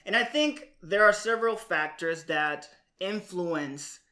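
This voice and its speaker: Man, podcast co-host, very bright forward voice, measured phrasing, conversational. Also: high-pitched. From Puerto Rico. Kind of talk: casual scripted-topic chat.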